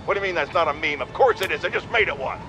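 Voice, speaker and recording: Man acting a blustering, high-pitched radio ranter. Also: animated, racing, over music, lightly acted. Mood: exasperated, loud. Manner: bombastic, sarcastic, exaggerated indignation. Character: blustering, irascible, self-important male radio pundit. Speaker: radio talk show host. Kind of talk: opinionated radio rant. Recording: clear background city noise from gameplay.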